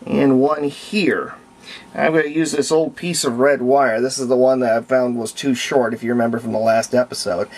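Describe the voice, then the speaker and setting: A man narrating his repair of an old radio in a halting, casual way, thinking aloud as he works. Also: mid-range voice, a little mellow. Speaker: radio restorer.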